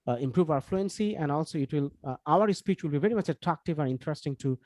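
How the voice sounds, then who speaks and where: A Bangladeshi-accented man, a native Bengali speaker, gives an informal online lecture as an instructor. His voice sits a little high for a man. He explains in a halting, repetitive way full of small asides, and the sound is dry, without room echo. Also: animated, darkish tone. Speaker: English course instructor.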